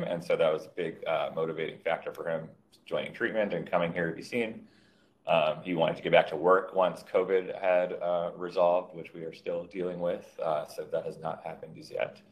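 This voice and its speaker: A middle-aged man, a physician, presenting a clinical case on a video call in a measured, slightly hesitant way. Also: deep voice, fairly even intonation. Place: indoor room on a video call.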